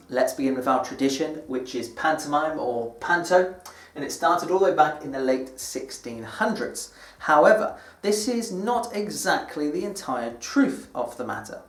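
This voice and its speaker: British man teaching, higher-pitched, in echoey room. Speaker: English teacher presenting British culture. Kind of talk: scripted educational presentation to camera. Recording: echoey room, distant microphone.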